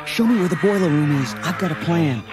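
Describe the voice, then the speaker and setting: Man acting young male hero, earnest, urgent, children's read-along drama, clear music underneath. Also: a little high, lively intonation, fairly quick, lightly acted. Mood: determined. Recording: music behind the voice.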